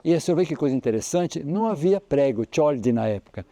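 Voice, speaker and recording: Dark-voiced Brazilian man, halting storyteller. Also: on the high side, expressive, no room sound. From Brazil, Espírito Santo. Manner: rambling, halting with restarts. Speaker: local historian guide. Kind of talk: on-site interview, explaining history.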